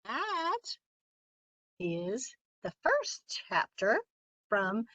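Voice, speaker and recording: A woman children's author, cheerful and animated, reading aloud to kids over a video call. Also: fairly high pitch, very slow, dry recording, lo-fi sound.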